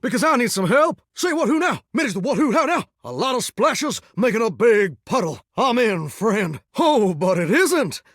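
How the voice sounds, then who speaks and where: A cocky 1950s rock-and-roll crooner who happens to be a faucet, for a video game. He has a high-pitched, very animated voice with a hammy Southern American drawl. The recording is dry and close. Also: normal speed, hardly any pauses, dry recording, exaggerated voice. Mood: pleading, folksy. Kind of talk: theatrical game voice acting.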